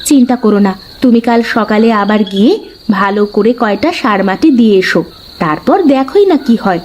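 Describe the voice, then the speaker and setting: A woman voicing a farmer's worried, supportive wife in a cartoon, gently consoling. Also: mid-range voice, expressive, moderate pace, slight background noise, natural voice. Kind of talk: cartoon character dialogue.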